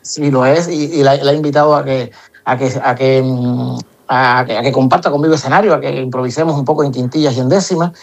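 A middle-aged Cuban man, an improvising poet, answering interview questions in an animated way with frequent restarts. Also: mid-range voice, fast talker. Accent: Cuban Spanish. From Cuba. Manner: animated, lots of repeated restarts.

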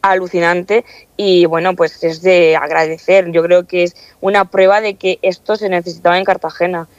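A woman's voice: on the low side, little pitch movement, normal speed, dry recording, lo-fi sound. A woman in her early twenties with a Spanish accent from Spain, speaking earnestly as a student representative answering interview questions. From Castilla-La Mancha, Spain. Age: early 20s. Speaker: student council president being interviewed.

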